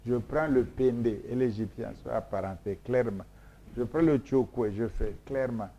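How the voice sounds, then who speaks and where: A man's voice: mid-range voice, moderate pace, mellow, slight background noise. A man whose first language is Mboshi, from Congo, explaining his research in an interview in an emphatic, repetitive, lecturing way with frequent hesitations. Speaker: scholar being interviewed.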